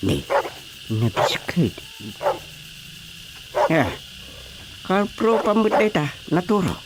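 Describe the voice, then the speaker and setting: Comic radio role: bossy village chairman, lively varied delivery, heavy background noise. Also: high-pitched, slow, full character voice. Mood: guiding. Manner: animated, exclamatory. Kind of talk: Ilocano radio drama comedy acting. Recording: loud chirping background noise.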